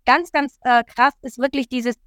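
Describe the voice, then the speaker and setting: A woman from Italy, a coach, talking as a guest in an earnest, lively way with many fillers. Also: sits high, moderate pace, dry recording, studio quality. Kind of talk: interview talk.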